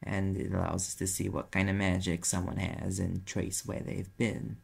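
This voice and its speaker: A very low, heavily creaking male private eye, acted by a man with a New York accent. He is a brash, sardonic demon in an audio drama. His delivery is casual and defensive, with a rough husky edge. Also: flat delivery, moderate pace, exaggerated voice. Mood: explaining, weary. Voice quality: gravelly, husky. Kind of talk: audio roleplay acting, conversational.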